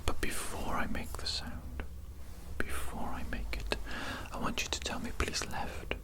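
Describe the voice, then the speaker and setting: A creator whispering an ASMR roleplay close to a binaural mic, speaking slowly and playfully and repeating phrases. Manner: slow, repetitive, playful whispering.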